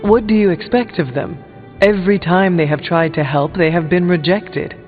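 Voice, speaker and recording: Guy voicing frank young game hero, kinda high for a man, measured n teasing, tinny audio. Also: close to an everyday voice. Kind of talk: video game character dialogue. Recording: thin band-limited game audio with music behind.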